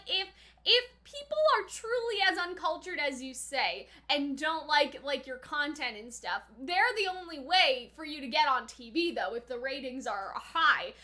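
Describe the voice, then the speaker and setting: A young woman with a very high, bright voice. She talks as a casual reaction host, thinking out loud in loose, slangy phrases with a giggly streak. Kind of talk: casual chatty reaction commentary.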